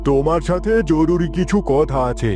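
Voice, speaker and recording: A man's voice: on the high side, normal speed, music in the background, natural voice. A man playing a brooding, protective king in a dramatic, commanding cartoon style. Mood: grave, commanding. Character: brooding, protective king haunted by losing his daughter.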